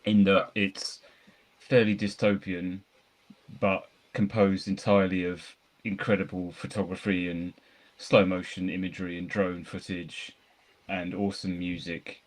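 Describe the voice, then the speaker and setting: Deep-voiced man chatting about films. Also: level tone, measured pace. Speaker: podcast co-host reviewing films. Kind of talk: casual film discussion.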